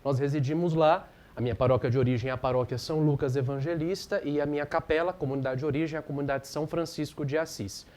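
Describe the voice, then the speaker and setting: A man's voice: on the high side, steady tone, fast, hardly any pauses, a little mellow. A young man in his twenties, a parish priest chatting as a guest, laughing easily and repeating his phrases. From Brazil. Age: 20s. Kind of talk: casual interview chat.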